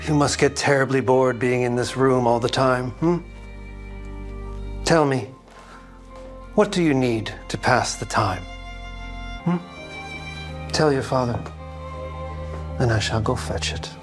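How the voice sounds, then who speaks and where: A man's voice: mid-range voice, slow pace, long pauses, close to an everyday voice. A man acts out a middle-aged nobleman father in an audio drama, over background music. He questions pompously and sternly, then coaxes with little "hmm" tags, always calculating. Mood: coaxing. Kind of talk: dramatised audio fiction acting.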